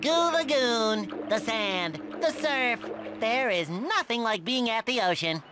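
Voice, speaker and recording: A man voicing a naive, eager, childlike sea sponge in a cartoon video game, squeaky, nasal, earnest and chirpy. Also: expressive, takes it slowly, full character voice. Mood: dreamy, cheerful. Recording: clearly noisy game audio.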